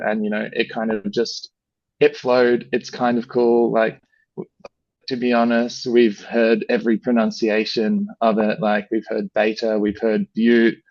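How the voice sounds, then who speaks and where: An Australian man, a brand founder, chatting casually in an interview, relaxed and rambling with lots of "you know" and "like". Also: on the low side, dry recording, dull audio.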